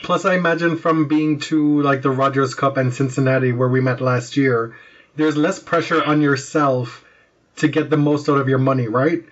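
A man's voice: medium pitch, fairly even intonation, normal speed. A man hosting a podcast in a casual, conversational style, recorded with slightly dull audio. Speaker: podcast host. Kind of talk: casual chat.

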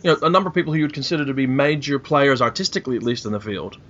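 A man's voice: mid-range voice, expressive, racing, a bit bright. A man hosting a casual interview, putting conversational questions to his guests. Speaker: podcast host and interviewer. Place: internet call. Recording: muffled internet call, faint noise.

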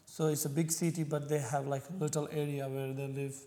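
Pakistani man with a mellow voice. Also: on the high side, little pitch movement. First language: Punjabi. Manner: halting, searching for words, frequent fillers. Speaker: podcast guest. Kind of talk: conversational interview.

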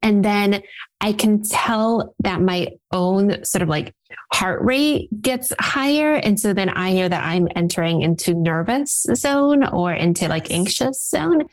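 A woman whose first language is Russian, an executive coach talking as a guest in an energetic, chatty way, laughing often and using many fillers. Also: mid-range voice, measured pace. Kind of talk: casual interview talk.

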